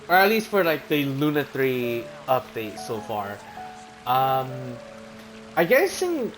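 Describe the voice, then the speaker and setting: A man's voice: medium pitch, a little hiss or hum, rough recording. A man streaming a video game, reading menu text aloud and chatting casually with many fillers and restarts.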